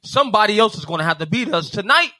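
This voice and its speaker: Bright-voiced man reasoning through basketball. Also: sits high, lively intonation, fast talker, dry recording. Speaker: podcast co-host. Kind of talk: casual sports debate.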